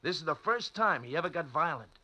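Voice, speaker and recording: A man playing a calm hospital attendant explaining a patient's escape, in a 1940s radio drama. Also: on the high side, brisk, exaggerated voice. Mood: matter-of-fact. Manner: plain, matter-of-fact.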